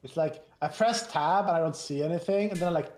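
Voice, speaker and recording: A man in his thirties chatting casually while gaming, relaxed and wry, thinking aloud. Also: a little high, fast. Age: 30s. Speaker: streamer. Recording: echoey room, faint noise.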